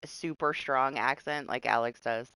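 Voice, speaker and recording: A woman's voice: extremely low pitch, fairly even intonation, measured pace, bright, forceful tone, clear, resonant tone, dry recording. A woman with a mix of Southern and New York American accents, chatting casually and swearing now and then while she streams a game. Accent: mixed Southern and New York American. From United States. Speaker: livestreamer. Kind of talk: casual gaming stream chat.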